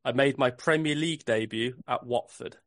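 Male podcast host running a quiz, measured clue reading, dry close sound. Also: medium pitch, no room sound. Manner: reads clues slowly and repeats them.

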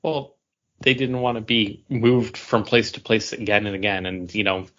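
A man's voice: on the low side, little pitch movement, normal speed, a bit bright, highs cut off. A man co-hosting a podcast, talking in a casual, conversational style. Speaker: podcast co-host. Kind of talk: casual chat.

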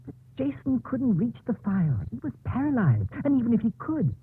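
An actor playing a weary, well-meaning hospital doctor, earnest and explanatory, in 1950s radio drama style. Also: male voice, extremely high pitch, huge pitch swings, brisk, slightly rough, exaggerated voice. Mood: earnest, insistent. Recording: tinny old radio broadcast.

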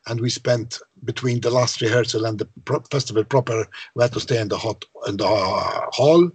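A low-voiced songwriter from Malta recounts the past in a casual interview. His tone is slightly husky, and he often stops to repeat words. The sound is dry and close. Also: a little fast, no room sound. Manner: hesitant, repeats words, conversational. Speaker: songwriter guest.

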